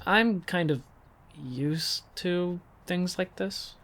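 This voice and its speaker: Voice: mid-range voice, drawn-out words, fairly bright tone. A woman playing an awkward, secretive, apologetic young local in an audio drama, hesitant and evasive.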